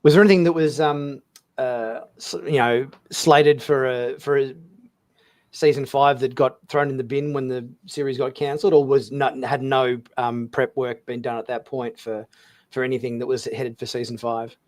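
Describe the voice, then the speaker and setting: A man's voice: mid-range voice, level tone, normal speed, a little mellow. A man hosting a casual interview, feeling his way through questions with some hesitation. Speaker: host.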